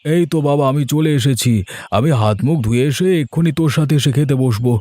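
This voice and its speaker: A man's voice: fairly high pitch, fast, dark tone, dry recording, natural voice. A man voicing an easygoing, affectionate young father in a cartoon, soothing and placating. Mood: cheerful, placating. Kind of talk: Bengali cartoon story acting.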